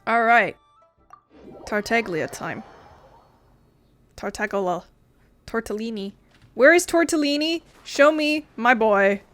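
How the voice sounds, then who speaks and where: Woman, gaming host, slightly high voice, casual commentary, informal and cheeky swearing, light music behind. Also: slow, long pauses, a bit bright.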